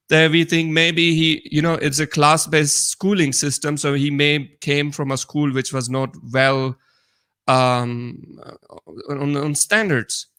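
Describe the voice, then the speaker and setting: Higher-pitched Pakistani man, halting delivery. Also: fairly bright tone. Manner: halting, searching for words. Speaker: co-host. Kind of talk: casual live discussion, reading viewer comments.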